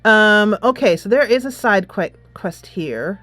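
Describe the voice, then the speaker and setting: A woman hosting a casual let's play, chatting in an animated, giggly manner into a close mic. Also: mid-range voice, unhurried, dry recording, soft background music. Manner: animated, giggly, reads dialogue aloud. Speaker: gaming channel host.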